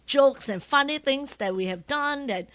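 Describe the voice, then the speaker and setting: A Malaysian woman with a Malaysian accent, whose first language is Malay, telling stories as an interview guest in a lively, chatty way, often adding tag questions. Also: sits high, unhurried. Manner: animated, often ends phrases with tag questions. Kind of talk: casual storytelling interview.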